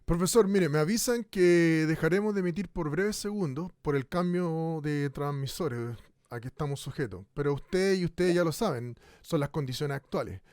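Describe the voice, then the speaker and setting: A man's voice: a little high, expressive, moderate pace, a little mellow, no echo, studio quality, natural voice. A man playing a radio host in a crisis broadcast, talking with many fillers. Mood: calm. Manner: hesitant, full of fillers. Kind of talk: radio drama acting a live talk show.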